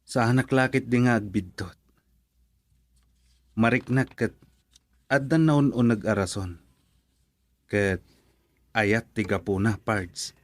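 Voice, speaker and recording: A man's voice: fairly low pitch, slow, long pauses, no room sound. An actor playing an easygoing young man who teases his friend and laughs easily, in a radio drama. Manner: casual, teasing, laughs easily.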